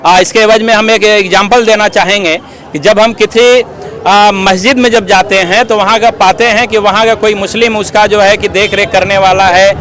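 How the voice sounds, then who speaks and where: A man's voice: sits high, fairly even intonation, moderate pace, a bit bright. A man being interviewed as an activist, speaking formally and earnestly as he argues his case at length, with habitual filler phrases. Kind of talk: outdoor street interview, explanatory speech.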